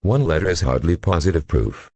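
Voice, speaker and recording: Impatient, pompous district attorney played by a man, extremely low and somewhat husky, formal courtroom radio drama. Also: lively intonation, brisk, darkish tone, no room sound, exaggerated voice. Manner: formal, argumentative, sarcastic. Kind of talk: radio play courtroom acting.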